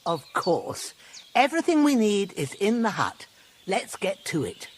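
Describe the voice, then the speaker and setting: A woman's voice: medium pitch, big pitch swings, unhurried, a little hiss or hum. A woman playing an older, plain-spoken and motherly village herbalist with rustic, folksy speech in video game dialogue. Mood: patient.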